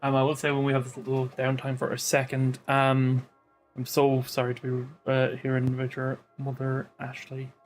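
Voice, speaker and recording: A man chatting casually as he streams a game, in a low-key, hesitant and considerate way. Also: monotone, moderate pace, faint music behind. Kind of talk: casual live game playthrough chat.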